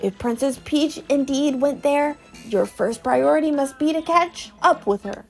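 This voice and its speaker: A woman's voice: sits high, unhurried, vocal fry, faint music behind. A woman voicing a shriveled old male Goomba professor from a video game, explaining things in a fussy, cautious way. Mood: earnest, advising. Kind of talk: video game character read aloud.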